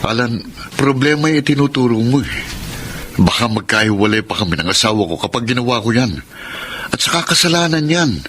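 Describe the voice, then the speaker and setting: A man's voice: mid-range voice, expressive, brisk, a bit bright, close to an everyday voice. A man playing an earnest, faithful husband who speaks a little hesitantly in a radio drama. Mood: uneasy, firm. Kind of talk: Filipino radio drama acting. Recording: noisy radio drama scene with ambience.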